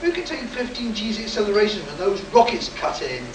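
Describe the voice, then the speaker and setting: A man's voice: sits high, sing-song, brisk, a crowd in the background. A man playing a cocky, wisecracking rocket test pilot in a vintage science-fiction radio play, with breezy, wry bravado. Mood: wry, reassuring. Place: convention hall, live stage performance. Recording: echoey hall, distant mic, noisy room.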